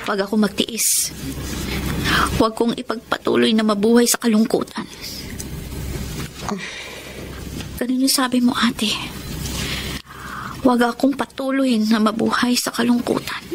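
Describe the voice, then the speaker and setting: An actress playing a young woman torn between two lovers, speaking softly and sorrowfully in a radio drama. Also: a little high, steady tone, moderate pace, pauses often, background noise, lightly acted. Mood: wistful. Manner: soft, sorrowful and pleading. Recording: loud music score behind. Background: music.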